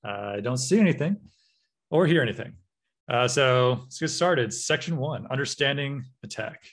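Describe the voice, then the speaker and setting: American man giving a relaxed training talk. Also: medium pitch, moderate pace. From United States. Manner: relaxed, frequent uh and um fillers. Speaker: cybersecurity trainer. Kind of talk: online workshop lecture over slides.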